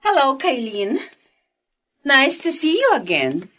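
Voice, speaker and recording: A rich, vengeful lady boss in a Filipino radio drama. Her voice sits fairly high and swings widely, with slow, savoured taunts. The delivery is mocking and theatrical. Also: wildly swooping pitch, fairly bright tone, full character voice. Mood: taunting. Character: vengeful, gloating rich young woman boss.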